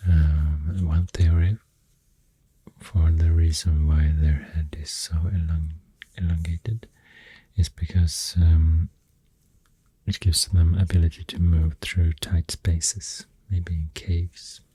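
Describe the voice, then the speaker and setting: Very low hushed ASMR voice, Swedish accent, heavily breathy and whispered, slow, soft-spoken, close and dry. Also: little pitch movement, no room sound. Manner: hushed, unhurried, gentle rambling with pauses. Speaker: ASMR host. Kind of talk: soft-spoken ASMR, rambling facts while drawing. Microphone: close microphone. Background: pencil writing and clicking sounds.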